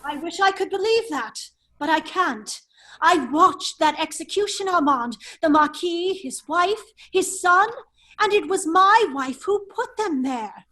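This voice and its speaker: A woman playing a witty, foppish English gentleman with a secret life, in an affected drawling manner in an amateur drama reading. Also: very high voice, expressive, measured pace, heavily acted. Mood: sorrowful, earnest. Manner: affected, languid, drawling, exclaiming.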